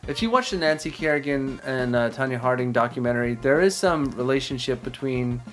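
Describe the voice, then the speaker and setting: A man with a mid-pitched voice chats as a podcast co-host. His delivery is informal and rambling, with opinions freely offered. Music plays faintly behind him. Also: expressive, a little fast, a bit bright. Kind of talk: casual video game music podcast chat.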